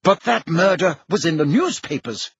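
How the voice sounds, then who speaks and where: Man playing an exasperated, husky-voiced prime minister. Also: a little high, animated, moderate pace, no room sound, muffled recording, voice pushed up, exaggerated voice. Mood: puzzled, irritated. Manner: incredulous, blustering, irritable. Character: indignant, exasperated male British Prime Minister. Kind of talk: audiobook character acting.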